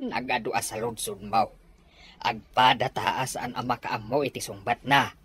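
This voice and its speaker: A woman's voice: very deep voice, steady tone, unhurried, fairly bright tone. A woman acting a practical older spouse who chides and reassures in a radio drama. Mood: chiding, reassuring.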